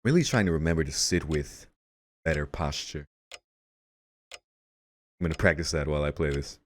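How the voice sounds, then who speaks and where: A man hosting a let's play, reading visual novel narration aloud in a measured storytelling way. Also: a bass voice, a little hiss or hum. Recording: game music behind the voice. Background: game music.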